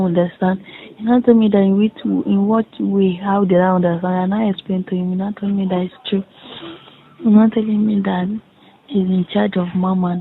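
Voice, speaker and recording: A young woman with a Nigerian accent speaks on a muffled, lo-fi phone recording. Her delivery stays fairly flat, with a gravelly creak in it. She tells things haltingly, circling back and repeating herself as she relays reported dialogue. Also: medium pitch. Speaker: caller in a private phone conversation. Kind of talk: casual recounting of a conversation.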